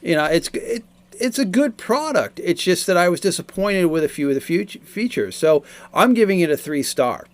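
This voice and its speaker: Middle-aged man, slightly high-pitched, casually explaining. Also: very animated, moderate pace. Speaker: product reviewer. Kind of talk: casual explanatory product review.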